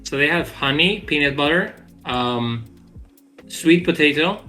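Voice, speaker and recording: A man's voice: fairly high pitch, animated, unhurried, sharp tone, faint music behind. A man on a game dev stream, chatting casually, rambling with restarts and tossing in swear words. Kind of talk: casual chat on a live stream.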